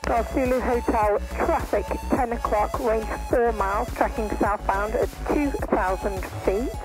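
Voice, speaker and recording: A woman's voice: on the high side, unhurried, over music, rough recording. A woman working as an air traffic controller, speaking in procedural phrases over aviation radio. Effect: aviation radio transmission.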